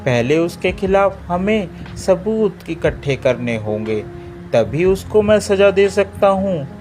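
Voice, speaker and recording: A man voicing a stern but fair tiger king in a cartoon, measured and authoritative. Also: high voice, sing-song, darkish tone, soft background music, voice pushed up, exaggerated voice. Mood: firm.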